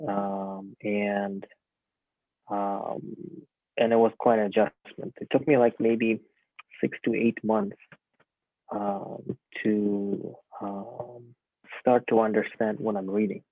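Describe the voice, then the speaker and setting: Guy in his 30s, low gravelly voice, lots of ums, tinny dry call audio. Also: steady tone, takes it slowly, no room sound. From Uzbekistan. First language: Russian. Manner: hesitant, many fillers. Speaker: guest physician. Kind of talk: casual interview answers.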